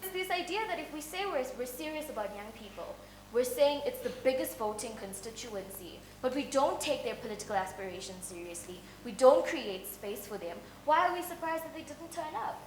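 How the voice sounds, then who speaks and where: A woman argues her case on a panel, pressing her points with pointed rhetorical questions. Her voice is on the high side. The room echoes, with a faint hum underneath. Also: lively intonation, a little fast. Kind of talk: panel discussion.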